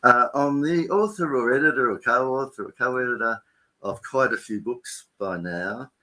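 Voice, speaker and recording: An older man with an Australian accent, a philosopher and writer introducing himself on a livestream panel in a relaxed, rambling way. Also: mid-range voice, moderate pace, rough recording.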